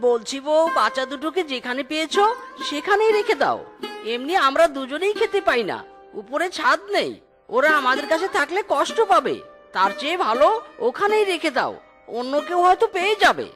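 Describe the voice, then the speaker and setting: A woman's voice: sits high, very animated, brisk, over music. A woman voicing a poor, weary, cautious husband fox in a children's cartoon, with a plaintive manner. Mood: resigned, worried.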